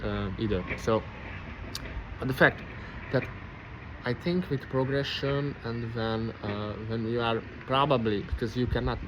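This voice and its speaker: A man's voice: medium pitch, measured pace. A man with a Hungarian accent, a non-native English speaker, thinking aloud in a rambling, reflective vlog, often stopping and restarting as he searches for words. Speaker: vlogger. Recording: noisy background with passing vehicles.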